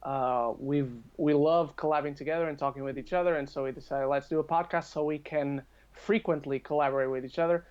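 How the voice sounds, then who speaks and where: A man's voice: fairly high pitch, steady tone, fairly quick, few pauses, darkish tone, clear, resonant tone. Guy guesting on a podcast, just chatting, bit muffled lo-fi audio. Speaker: podcast guest, video reviewer. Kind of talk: casual chat.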